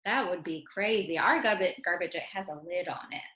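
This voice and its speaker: An American woman reading poems aloud to children in an expressive way, speaking directly to her young listeners. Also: on the low side, moderate pace, muffled recording. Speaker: children's poem reader and teacher. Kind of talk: animated read-aloud for children. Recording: roomy, echoey sound.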